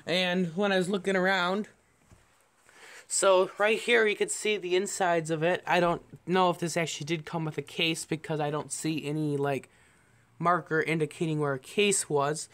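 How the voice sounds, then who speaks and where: A man's voice: a little high, little pitch movement, moderate pace, fairly bright tone. A man giving a casual, unscripted show-and-tell as a vlogger, rambling along in a matter-of-fact way. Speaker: vlogger showing an antique radio.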